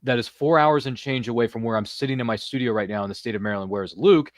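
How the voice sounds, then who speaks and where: Fast-talking American man hosting a podcast. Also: mid-range voice, dry recording, clean studio sound. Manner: jokey, slangy. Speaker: podcast host. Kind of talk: casual podcast chat. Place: studio.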